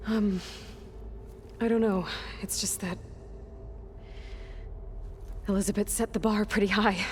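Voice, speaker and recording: A woman playing an earnest, burdened young hunter in a video game, with a low-key, determined delivery. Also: fairly even intonation, moderate pace, slight background noise, close to an everyday voice. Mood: burdened, unsure. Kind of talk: naturalistic video game cutscene acting.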